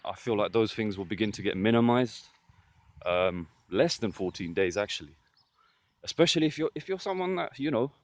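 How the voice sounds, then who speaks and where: A man with a fairly deep voice talking to the camera in a casual vlog, earnest and encouraging, often saying "listen" and "trust me". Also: darkish tone, dry recording. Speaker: vlogger. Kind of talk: casual motivational vlog monologue.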